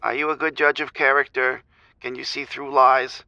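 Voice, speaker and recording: A man's voice: mid-range voice, flat delivery, normal speed, creaky, pushed and bright, smooth, no room sound, highs cut off. A man playing a meek, downtrodden pushover of a cat owner calling an advice show, hesitant and self-deprecating. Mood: tentatively hopeful. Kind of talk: improvised comedic phone-in.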